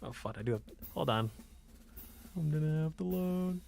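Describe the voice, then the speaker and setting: A man's voice: a little high, animated, moderate pace, creaky, a little mellow. A man giving casual gameplay commentary, swearing and muttering asides as he plays. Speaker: let's player reading a visual novel aloud. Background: game music.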